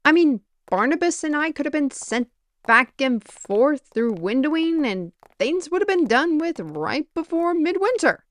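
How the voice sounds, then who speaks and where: A wry, worldly female mage acted by a woman muses aloud at an unhurried pace. The fantasy roleplay delivery is theatrical and chatty, recorded close and dry. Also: sits high, sing-song, no room sound. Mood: wry, exasperated. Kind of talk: fantasy audio roleplay acting.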